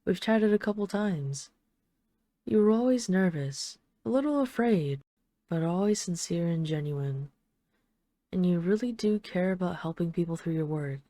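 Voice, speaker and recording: Low, mellow flirtatious vampire lady played by a woman. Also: no echo, studio quality, close to an everyday voice. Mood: tender, seductive. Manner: teasing, coaxing, deliberate with lingering pauses. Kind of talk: intimate audio roleplay addressed to the listener.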